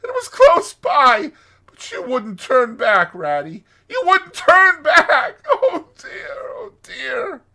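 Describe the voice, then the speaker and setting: High, sobbing little storybook mole. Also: male voice, huge pitch swings, sharp tone, heavily acted. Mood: sobbing, reproachful. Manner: sobbing, halting, plaintive delivery. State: crying. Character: tearful, homesick, humble little mole. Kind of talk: dramatized storybook reading.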